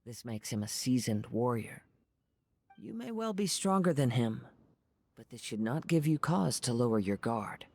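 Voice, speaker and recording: Gentle poetic young samurai guy, soft-spoken and formal, game character. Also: mid-range voice, expressive, moderate pace, gravelly. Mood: calm advice. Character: calm poetic young wandering swordsman, gentle and thoughtful. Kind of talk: video game voice acting.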